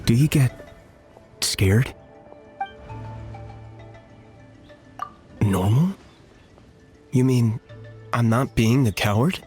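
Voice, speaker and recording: An actor playing an anxious, grudging but decent young soldier in a video game, hesitant and uneasy. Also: male voice, mid-range voice, animated, slow, a little mellow, soft background music. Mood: unsure.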